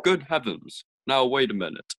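A man's voice: medium pitch, very animated, moderate pace, a bit bright, dry recording. Casting: a man as a hearty, chuckling older boss for a 1940s radio sitcom, dry close mic. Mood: jovial. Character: jovial older male boss, Mr. Atterbury.

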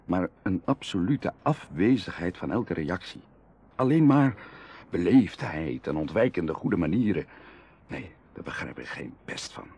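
An actor playing a proud, hard-up aristocratic bachelor in a radio drama, confiding and passionate, with emotional, dramatic delivery shifting from hushed to outbursts. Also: male voice, low voice, sing-song, measured pace, husky, heavily acted. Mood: frustrated. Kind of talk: Dutch radio drama acting.

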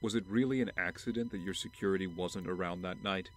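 A man's voice: sits low, quick pace, faint music behind. An actor playing a brooding, resolute rich heir in an audio drama, earnest and accusing as he speaks of justice. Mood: cold, accusing. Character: brooding, intense wealthy male heir bent on justice.

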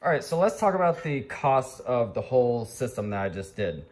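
A man's voice: medium pitch, very animated, moderate pace, a little mellow. A man vlogging casually and informally, walking viewers through a hands-on DIY project. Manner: informal, addressing viewers as guys. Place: home garage workshop. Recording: handheld recording with clear background noise.

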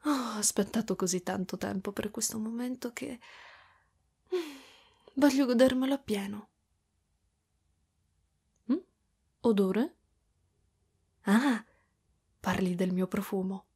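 A woman voicing a doting, clingy motherly spider-woman in an ASMR roleplay, speaking softly and affectionately with whispery stretches. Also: mid-range voice, slow, long pauses, exaggerated voice. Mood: soothing, affectionate. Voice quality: soft, breathy with whispery stretches. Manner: cooing, affectionate, giggly, pet names.

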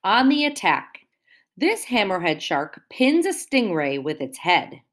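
A woman's voice: medium pitch, sing-song, measured pace. A woman teacher reading a picture book aloud to young children, explaining words and asking them questions in a sing-song way.

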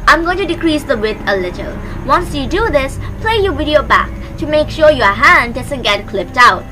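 A woman's voice: high voice, expressive, normal speed. A young woman hosting a tutorial, giving scripted step-by-step instructions into a close microphone. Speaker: tutorial host. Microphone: close microphone on a stand. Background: background music.

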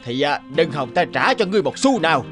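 A man's voice: on the high side, very animated, quick pace, fairly bright tone, faint music behind. A man voicing a greedy, vain, blustering village landlord in a cartoon, pompous and bossy. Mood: indignant. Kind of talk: cartoon dubbing.

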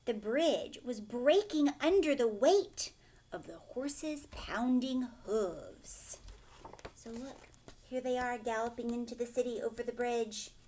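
High-pitched, animated woman reading a storybook. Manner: expressive, instructive, pausing to ask questions. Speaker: teacher reading a picture book aloud. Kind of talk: children's storybook read-aloud with explanations and questions. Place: furnished living room, indoors.

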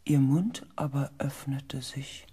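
Shaken space explorer, super raspy breathy, hushed halting suspense, sci-fi audio drama. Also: male voice, medium pitch, fairly even intonation. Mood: tense, hushed. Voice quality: husky, breathy. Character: shaken space expedition member, narrating in dread. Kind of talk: radio drama narration and acting.

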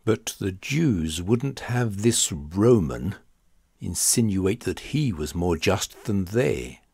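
Male audiobook narrator for scripture: low register, deliberate and dramatic delivery, dry close sound. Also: big pitch swings, creaky, dark tone, slightly rough, dry recording. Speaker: audiobook reader.

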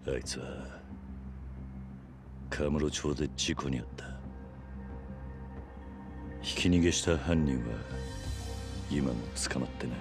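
Very deep-voiced stoic yakuza. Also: male voice, music in the background. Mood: grave. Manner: terse, measured, grave. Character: stoic, protective middle-aged yakuza man, gruff and grave. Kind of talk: dramatic Japanese video game acting.

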